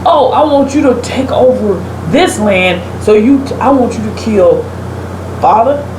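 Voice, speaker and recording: A woman with a fairly high voice speaks like an emphatic preacher giving her opinion. She is casual but pointed, leaning on rhetorical questions. The room echoes and there is clear background noise. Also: lively intonation, a little slow. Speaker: talk show host giving opinions. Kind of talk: opinionated commentary in a casual conversation. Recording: roomy echo, clear air-conditioning-like background noise.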